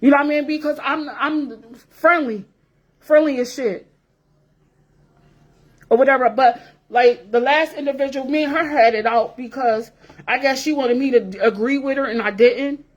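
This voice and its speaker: A woman's voice: sits high, moderate pace, highs cut off. A woman in her forties talking straight to her viewers in a blunt, profane rant, repeating herself for emphasis. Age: 40s. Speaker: vlogger. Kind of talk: casual rant to camera.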